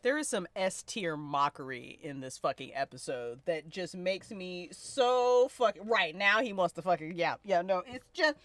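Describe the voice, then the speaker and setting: A woman's voice: lowish voice, expressive, a little slow, hardly any pauses, no echo. A woman in casual live discussion as a podcast crew member, gushing and emphatic with plenty of swearing.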